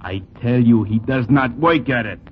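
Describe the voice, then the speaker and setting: A man's voice: medium pitch, normal speed, slightly rough, natural voice. An actor playing a grudging, cynical New York club doorman, dismissive and slangy, in a 1940s radio comedy. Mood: grudging, scornful. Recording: dull, noisy 1940s radio recording.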